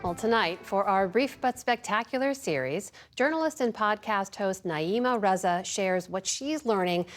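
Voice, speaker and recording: A woman news anchor presenting scripted introductions and sign-offs in a measured, polished delivery. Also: medium pitch, animated, music in the background.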